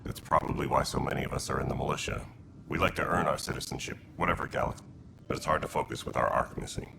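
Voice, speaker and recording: An actor playing a gruff, dutiful alien militia leader in a video game, speaking with blunt military terseness in a gravelly voice. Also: male voice, fairly even intonation, fast talker, very noisy. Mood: candid, reflective. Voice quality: gravelly, very deep.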